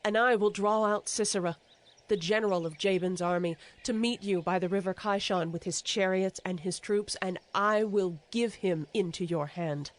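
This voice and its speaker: Female actor for stern ancient prophetess and judge, Bible radio play; mid-range, deliberate, commanding. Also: medium pitch, lightly acted. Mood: solemn commanding. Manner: declamatory, deliberate. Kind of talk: dramatized audio Bible acting. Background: music.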